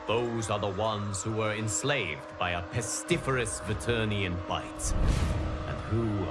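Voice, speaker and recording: A man playing a solemn godlike guardian of timelines, narrating video game cutscenes in a formal, measured, dramatic way. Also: fairly low pitch, lightly acted. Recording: loud cinematic music behind. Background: loud music.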